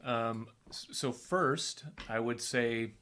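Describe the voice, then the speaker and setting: An easygoing man co-hosting a live Q&A, reading viewer comments aloud with a playful touch. His voice sits at a middle pitch for a man. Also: slow, bright, forceful tone. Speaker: co-host reading viewer questions. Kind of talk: casual live Q&A chat.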